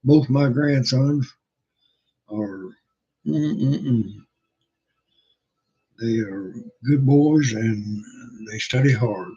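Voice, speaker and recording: An older man hosting a livestream, chatting casually in a hoarse, rough voice, halting and folksy with many pauses and fillers. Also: medium pitch, mellow. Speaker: livestream host. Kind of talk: casual rambling chat. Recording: dull lo-fi sound.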